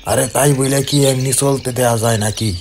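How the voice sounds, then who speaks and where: A man's voice: medium pitch, steady tone, normal speed, background noise, lightly acted. A man playing a bold, stubborn village palm-sap tapper in a cartoon, with a rural Bangladeshi accent. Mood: worried, determined. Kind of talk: cartoon voice acting.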